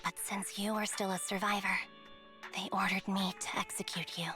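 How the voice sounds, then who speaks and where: An actress playing a remorseful young woman soldier and former squad captain in a video game, speaking softly and haltingly with music behind her. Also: mid-range voice, little pitch movement, lightly acted. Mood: subdued, resigned. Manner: soft, measured, halting, subdued. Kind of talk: dramatic video game voice acting.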